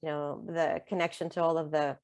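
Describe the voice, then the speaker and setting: A woman's voice: sits low, level tone, fairly quick, darkish tone, smooth, dry recording. A middle-aged woman whose first language is Russian, an author speaking casually in an interview with many fillers and easy laughter. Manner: chatty, many fillers and restarts, laughs easily. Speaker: author and guest. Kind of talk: conversational interview.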